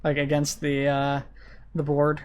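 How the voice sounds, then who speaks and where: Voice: mid-range voice, completely flat delivery, a little slow, darkish tone, clear, resonant tone. A video game streamer giving casual, rambling commentary while thinking aloud. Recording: vehicle and siren noise behind voice. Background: game sirens and vehicle sounds.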